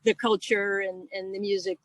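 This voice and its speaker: A woman from the United States, a guest musician, chatting casually in a relaxed way with frequent ums, outdoors on a call. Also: mid-range voice, normal speed, no room sound. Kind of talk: casual interview chat.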